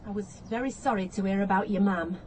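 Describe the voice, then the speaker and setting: An actress playing a chatty, persistent neighbour in a radio play, forthright and easily offended, with a northern English accent. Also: female voice, mid-range voice, fairly even intonation, fairly quick, darkish tone, slight background noise, natural voice. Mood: earnest. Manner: forthright, colloquial.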